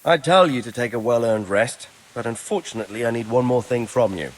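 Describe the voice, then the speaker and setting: An actor playing an authoritative, gruffly practical medieval lord in a video game cutscene. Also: male voice, mid-range voice, brisk, natural voice, rough recording. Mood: brisk, commanding.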